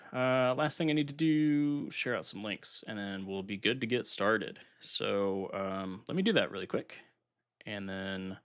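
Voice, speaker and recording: A man's voice: fairly low pitch, gravelly. A man hosting a casual live stream, a bit nasal as he recovers from being sick, talking himself through setup at his computer. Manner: relaxed, thinking aloud while setting up software. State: recovering from illness, slightly congested.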